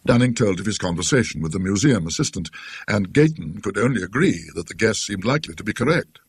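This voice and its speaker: A British man with a husky voice narrating a classic ghost story in a scholarly, dryly witty, deliberate manner. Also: fairly low pitch, expressive, dry recording.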